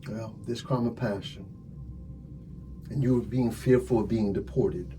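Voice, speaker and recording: A man playing a smug police detective in an ASMR crime roleplay, telling things dramatically with drawn-out, hushed phrases. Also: on the low side, steady tone, a little hiss or hum, lightly acted. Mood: stern, confronting. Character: smug theatrical male police detective interrogating a suspect.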